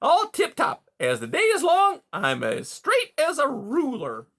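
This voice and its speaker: A man's voice: very high-pitched, wildly swooping pitch, measured pace, gravelly, a bit bright, pitched above the performer's own voice, exaggerated voice. A man reading aloud, voicing a smarmy, hearty male beaver who chatters in folksy salesman sayings.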